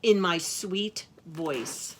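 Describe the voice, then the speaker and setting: A woman voicing a gentle mother goat from a storybook, sweet and singsong. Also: on the low side, extremely slow, a little hiss or hum. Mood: motherly.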